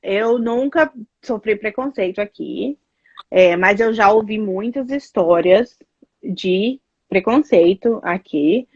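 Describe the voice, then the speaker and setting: A woman's voice: medium pitch, slow pace, slightly rough, no room sound, lo-fi sound. A Brazilian woman with a Brazilian Portuguese accent, chatting casually as a guest, telling stories with lots of fillers. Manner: chatty, animated storytelling with many fillers. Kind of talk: casual chat.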